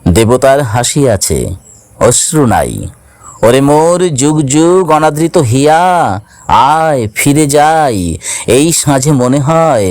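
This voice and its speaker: A man reciting poetry in a solemn, sorrowful and expressive dramatic style, drawing out his phrases. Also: fairly high pitch, takes it slowly, clear, resonant tone, dry recording.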